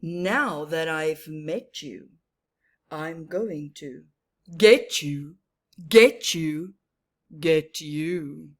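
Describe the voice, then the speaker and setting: A woman voicing a menacing male predator bird in a storybook, deeper and louder than her own reading voice. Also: extremely slow, full character voice. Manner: low, booming, sinister.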